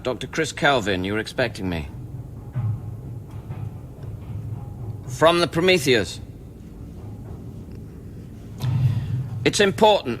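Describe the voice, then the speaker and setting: An actor playing an earnest scientist on a space station in a radio play, brooding and deliberate in narration, urgent when questioning. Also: male voice, a little high, sharp tone, slight background noise. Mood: insistent, demanding. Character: earnest male scientist newly arrived on space station, recounting events. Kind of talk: radio drama acting with first-person narration. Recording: music behind the voice.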